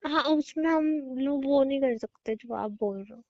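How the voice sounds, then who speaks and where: A woman playing a hesitant, exasperated young bride who explains haltingly and pleadingly in a conversational audio drama. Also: high voice. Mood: patiently explaining. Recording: dull, lo-fi call-recording sound.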